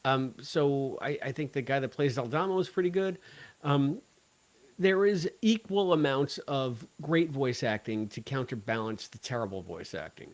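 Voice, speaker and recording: A man's voice: medium pitch, lively intonation, normal speed. A man co-hosting a casual review podcast, talking in a wry, joking way. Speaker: podcast co-host. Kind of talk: casual review chat about an audio drama.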